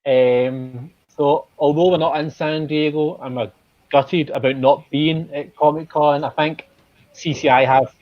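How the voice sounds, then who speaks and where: A man's voice: a little high, steady tone, a little slow, dry recording. A man from Glasgow with a Glaswegian accent, a guest in a casual livestream chat, talking hesitantly with plenty of ums and a self-deprecating tone. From Glasgow, Scotland. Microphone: video call webcam. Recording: dry, muffled video call audio.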